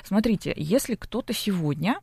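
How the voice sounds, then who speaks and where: A woman's voice: mid-range voice, lively intonation, normal speed, creaky, darkish tone, husky, no echo, clean studio sound. A woman speaking as a psychologist guest in a broadcast interview, explaining at length like a lecturer and often checking in with short tag questions.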